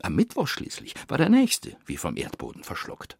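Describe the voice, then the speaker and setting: A man performing a wry literary storyteller in a radio drama, with dramatic emphasis and pauses. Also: medium pitch, huge pitch swings, creaky, slightly rough, dry recording, clean studio sound, exaggerated voice. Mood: wry storytelling. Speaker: actor reading narration and letters.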